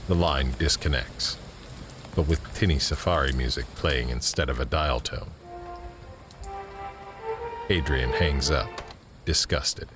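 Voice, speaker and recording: An actor as a narrator, reading scripted scene directions for an audio drama. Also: male voice, rumbling low, a bit bright, exaggerated voice.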